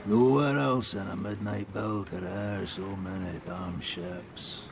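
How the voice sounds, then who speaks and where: An actor playing a gruff, world-weary ship captain who speaks in a low, brooding way in a video game drama. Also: male voice, fairly even intonation, slow pace, background noise. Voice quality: low, gravelly. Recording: music behind the voice.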